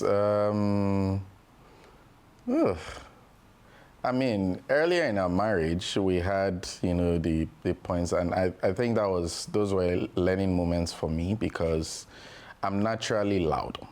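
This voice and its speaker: A man with a very low, deep voice tells his stories in an easy conversational flow. He keeps filling his sentences with "you know" as he thinks aloud. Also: takes it slowly, a little mellow. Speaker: guest father. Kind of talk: candid podcast conversation.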